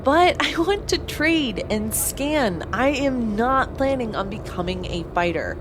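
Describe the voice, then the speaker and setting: A woman's voice: fairly high pitch, lively intonation, a little slow, background noise. A woman playing an earnest young space pilot who narrates her own story in an audio drama. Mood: surprised, earnest. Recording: clear vehicle ambience behind voice.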